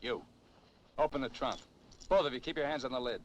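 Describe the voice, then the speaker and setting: A man's voice: fairly quick, close to an everyday voice. An actor playing a cold-blooded American gunman in a 1950s crime film, giving curt orders in tough, slangy speech. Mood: cold, menacing. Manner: curt barked commands, tough slangy grammar. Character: menacing, cold-blooded male gunman holding drivers captive. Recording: muffled old film soundtrack, noisy car rumble.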